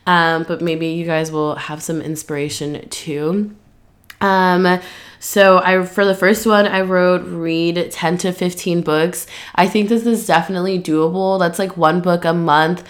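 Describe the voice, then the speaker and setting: A woman's voice: on the low side, little pitch movement, measured pace. A young woman, slightly nasal and stuffy from allergies, talking through her plans on a solo podcast, rambling with lots of "um" and "like". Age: 20s. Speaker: podcast host. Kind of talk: casual solo podcast chat.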